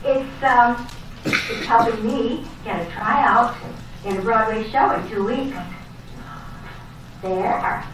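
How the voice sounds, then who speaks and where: An actress playing a vain, defiant younger sister hungry for fame in an old-time soap opera, with brash dramatic delivery. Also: female voice, fairly high pitch, slow, long pauses. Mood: boastful, brash. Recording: echoey, distant old soap opera recording, faint noise.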